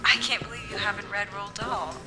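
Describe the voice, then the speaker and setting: A woman's voice: medium pitch, steady tone, a little fast, lightly acted. An actress playing a bookish young woman, dry and teasing, in a naturalistic radio drama. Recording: music under the dialogue.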